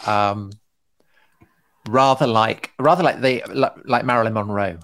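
A man's voice: fairly low pitch, a little slow, fairly bright tone. A middle-aged man co-hosting a podcast in casual chat, hesitant, circling back on his words with dry understatement. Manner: hesitant, repeats himself, dryly understated. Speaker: podcast co-host.

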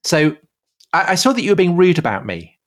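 A man co-hosting a podcast, chatting with many restarts and turning animated when joking. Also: high-pitched, fairly quick. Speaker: podcast co-host. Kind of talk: bantering podcast chat.

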